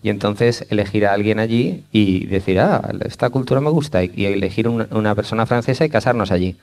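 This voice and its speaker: A man's voice: lowish voice, fast talker, darkish tone. A man with a Spanish accent from Spain, working as an interpreter relaying a talk to an audience.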